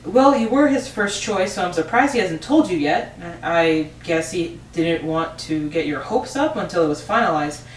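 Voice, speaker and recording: A woman's voice: fairly low pitch, lively intonation, normal speed, hardly any pauses, natural voice. A woman playing a friendly, chatty factory boss in an amateur table read, talking in an upbeat, rambling way. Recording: roomy, distant mic, faint noise.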